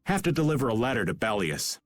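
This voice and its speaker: A man voicing a laid-back, wry middle-aged rogue in an animated role-playing video game. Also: mid-range voice, fast talker, dry recording. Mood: wry, casual. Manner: casual, wry, refers to himself by name. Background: faint background music.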